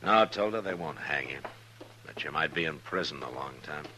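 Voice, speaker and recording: Stern American marshal, 1950s radio western. Deep, forceful, measured delivery; faint noise and hum of an old recording. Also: male voice, animated, full character voice. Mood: grave, measured. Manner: terse, measured, authoritative. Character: calm, stern, steady frontier lawman, a man.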